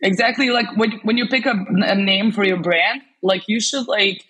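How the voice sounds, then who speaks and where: A woman's voice: medium pitch, normal speed, a bit bright, slight background noise, rough recording. A woman, a language specialist chatting as an interview guest, talking loosely with lots of fillers and restarts. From Serbia. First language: Serbian. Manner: chatty, many fillers and restarts, laughs often. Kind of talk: casual interview chat.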